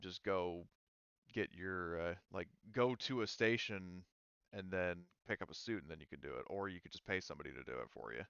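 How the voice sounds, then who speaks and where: Low smooth guy game mastering, rambly explainer, dry but kinda muffled recording. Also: normal speed, a bit bright, dry recording. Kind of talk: casual tabletop game refereeing.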